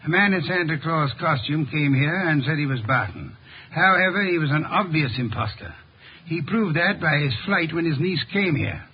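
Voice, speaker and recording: An actor playing an eccentric, pompous middle-aged detective in a 1950s radio drama, speaking in precise, formal diction. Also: male voice, a little high, lively intonation, moderate pace, husky. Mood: explaining, assured. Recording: muffled vintage 1950s radio recording.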